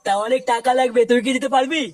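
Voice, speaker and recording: A man's voice: extremely high pitch, little pitch movement, very fast, a loud crowd. A man voicing a brusque, money-minded betting boss in a comedy cartoon, with a West Bengal Bengali accent and a processed voice. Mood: curt, demanding. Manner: blunt, demanding. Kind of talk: comic cartoon voice acting.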